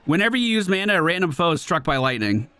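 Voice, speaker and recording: Casting: male game streamer, casual and slangy, slightly high voice, highly animated delivery, soft music behind. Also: fast talker, smooth. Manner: excitable, slangy, swearing. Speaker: gaming streamer. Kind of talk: casual live gameplay commentary. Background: faint game music.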